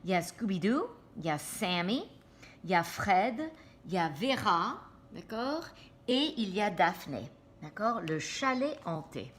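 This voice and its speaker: A woman reads a picture book to children at an unhurried pace. Her pitch is lively and varied, and she often steps out of the story to explain and check in. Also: slight background noise. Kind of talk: animated read-aloud with asides to children.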